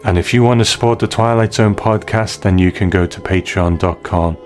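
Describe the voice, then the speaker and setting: A man's voice: deep voice, moderate pace, faint music behind. A man hosting a podcast, talking conversationally and appreciatively to his listeners. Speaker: podcast host. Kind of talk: podcast listener feedback and sign-off.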